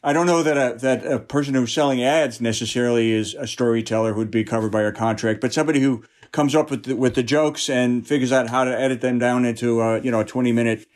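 A man giving conversational interview answers as a guild representative, haltingly repeating words as he explains. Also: medium pitch, steady tone, darkish tone.

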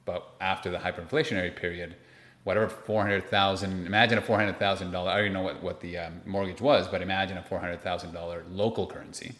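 Deep-voiced man in an echoey room. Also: fairly even intonation, fast, fairly bright tone. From Lima, Peru. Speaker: interview guest, investment manager. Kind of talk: conversational personal storytelling. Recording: roomy, echoey sound.

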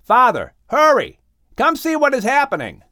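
A man voicing an excited young son in an audiobook story, exclaiming urgently. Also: extremely high pitch, normal speed, no room sound, studio quality, voice pushed up, heavily acted. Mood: urgent excitement. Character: excited, breathless young adult son calling his father.

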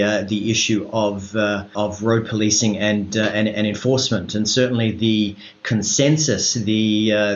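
Australian male expert guest, formal but halting delivery, dull lo-fi recording with room echo. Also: on the low side. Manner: halting, hesitant delivery with measured formal wording. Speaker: road safety regional advisor, interview guest. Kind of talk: formal interview explanation. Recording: roomy echo, dull lo-fi sound.

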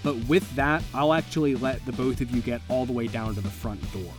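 A man acting as game master, improvising vivid, deliberate descriptions of scenes for his players. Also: medium pitch, fairly even intonation. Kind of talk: improvised tabletop game narration. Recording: music bed under the voice. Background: music.

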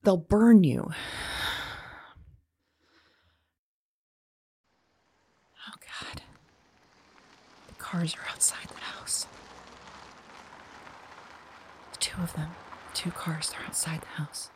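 A woman playing a frightened, anguished woman awaiting arrest in an audio drama, speaking haltingly and often dropping into breathy whispers. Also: lowish voice. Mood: dread.

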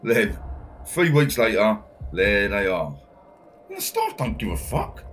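Fed-up prisoner, sweary, voice jumps all over, bit of hum behind. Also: male voice, on the low side, wildly swooping pitch, measured pace, a bit bright, heavily acted. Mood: bitter. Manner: angry, sweary, full of tag questions. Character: bitter, foul-mouthed male prisoner stuck in his cell. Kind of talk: audio drama monologue.

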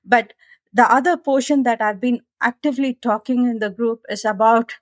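A woman's voice: on the high side, no echo. A middle-aged woman from India with an Indian English accent, answering interview questions earnestly and with determination. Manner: earnest and determined, thinks aloud with restarts. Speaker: guest. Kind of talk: conversational interview.

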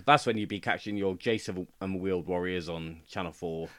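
A British man with a fairly low voice talks as a podcast co-host. His delivery is casual and conversational, like explaining things to a friend. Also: fairly quick, fairly bright tone. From United Kingdom.

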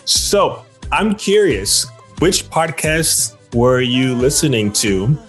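A man from Texas hosts a conversational podcast. His voice sits at a middling pitch. He talks in a casual, rambling way, padding sentences with "like" and "you know". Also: big pitch swings, slow, a bit bright, faint music behind. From Texas, United States. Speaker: podcast host.

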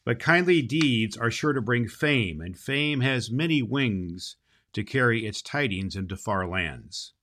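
A man's voice: medium pitch, lively intonation, measured pace, fairly bright tone. A man playing a jolly, kindly old Santa Claus hosting a children's holiday show, folksy and emphatic. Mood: storytelling. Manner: folksy, emphatic, repeats phrases. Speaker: host.

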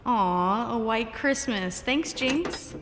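An actress playing a snappy, quick-witted physicist in her thirties in an audio drama. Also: on the high side, expressive. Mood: sarcastic. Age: 30s. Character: snappy, sarcastic female physicist, expectant mother. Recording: echoey reverberant room, music behind.

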